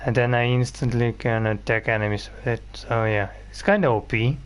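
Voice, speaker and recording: A man's voice: mid-range voice, steady tone, brisk. A man giving casual, rambling gameplay commentary as he plays. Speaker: gaming video host. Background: video game music.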